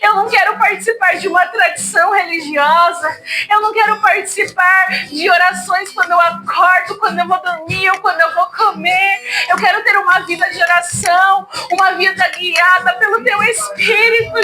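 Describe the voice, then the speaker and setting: A woman's voice: very high voice, fairly even intonation, moderate pace, low-quality recording. A woman leading an emotional spoken prayer, tearful and pleading, her voice breaking as she asks for forgiveness. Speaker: prayer leader. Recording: guitar music behind. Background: soft guitar music.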